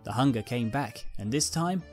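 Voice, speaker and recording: A man's voice: medium pitch, lively intonation, moderate pace, faint music behind. A man narrating a scripted fan fiction story.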